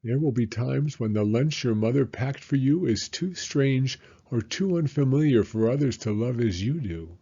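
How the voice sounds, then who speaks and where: A man reading a children's picture book aloud as a story time host, with expressive, unhurried narration. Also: medium pitch, husky, dull audio.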